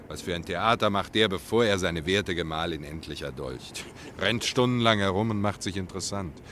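An actor playing a flirtatious, self-important stage player in a German radio play, teasing and grandiloquent. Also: male voice, low voice, fairly bright tone, a little hiss or hum.

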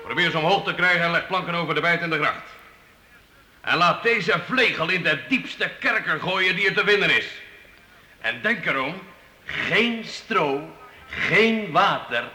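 A cruel castle knight in a historical radio play, with a hard, very forceful voice pitched on the high side. He is menacing and full of contempt, heard in an echoey room. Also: animated, a little slow, full character voice. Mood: curt, commanding. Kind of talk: historical radio drama acting.

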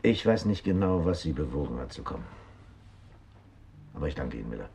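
A man's voice: extremely low pitch, big pitch swings, fairly quick, creaky, a little mellow, slight background noise, exaggerated voice. An actor playing an urgent but courteous male police captain in a 1970s German radio crime drama. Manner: polite but insistent questioning.

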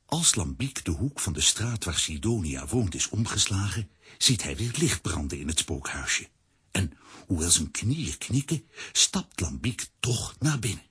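Storybook narrator: low, heavily husky, mock-solemn and dramatic, reading scripted children's adventure. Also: male voice, sing-song, measured pace, fairly bright tone, full character voice. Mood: suspenseful.

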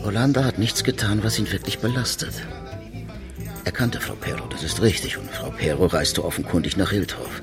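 A man's voice: on the low side, lively intonation, a little fast, gravelly, over music. An actor playing a blunt, probing male chief inspector who presses his questions in a radio crime drama. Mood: businesslike. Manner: confronting, insistent interrogation.

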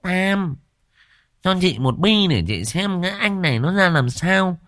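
A man voicing a brash, hot-tempered village woman who shouts bossy demands in a shrill voice. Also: animated, unhurried, no room sound, studio quality. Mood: bossy loud.

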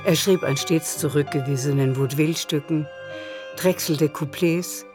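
A woman's voice: deep voice, steady tone, moderate pace. Woman narrating, faint music behind. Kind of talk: scripted literary narration for radio drama.

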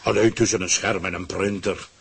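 An actor playing a pompous, scheming government ruler in a radio satire, dictating in an imperious, declamatory way. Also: male voice, low voice, fairly even intonation, moderate pace, gravelly, a bit bright, husky, full character voice. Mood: smug scheming. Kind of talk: Dutch-language radio satire acting.

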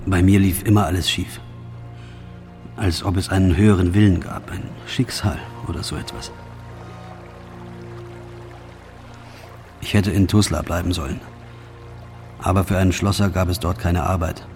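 An actor playing a reluctant, disillusioned soldier, narrating a radio drama monologue in a quiet, resigned, brooding manner. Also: male voice, deep voice, steady tone, normal speed, soft background music. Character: reluctant, disillusioned soldier, former locksmith, male, weary.

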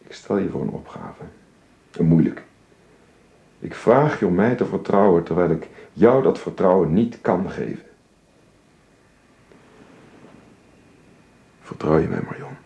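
A man's voice: low-pitched, animated, moderate pace, creaky, warm tone. A man playing a reserved, earnest father and employer who speaks formally and hesitantly in a radio drama with a roomy, hissy recording. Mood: hushed, pleading.

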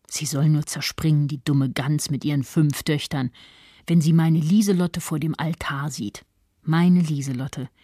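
An actress playing a smug, spiteful middle-aged doctor's wife in a 1930s radio monologue, self-important and gossipy. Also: female voice, sits low, dark tone, breathy edge, no echo, clean studio sound, full character voice. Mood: spiteful, boastful. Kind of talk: radio literary monologue reading.

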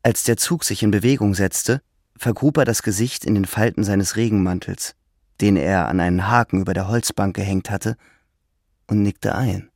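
Low-voiced male narrator, dry sound. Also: normal speed, a little mellow, dry recording. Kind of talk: scripted literary narration in a radio play.

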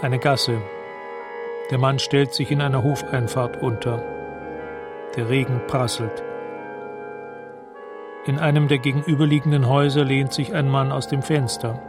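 Man as drama narrator, medium pitch, sober, measured, observational, faint music behind. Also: flat delivery, lightly acted. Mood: detached. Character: detached male narrator describing scenes. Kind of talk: scripted radio drama narration.

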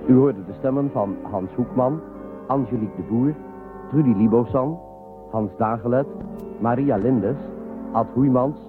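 A man's voice: mid-range voice, unhurried, long pauses. A man reading scripted credits as the announcer of a radio drama. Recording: thin phone-like broadcast recording, music behind.

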